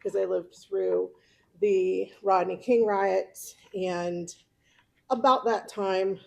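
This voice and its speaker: A woman's voice: mid-range voice, expressive, slow pace, vocal fry. A middle-aged woman from California with an American accent, chatting informally about herself to a club, often chuckling. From California, United States. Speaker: club member giving a personal talk. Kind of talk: informal autobiographical speech.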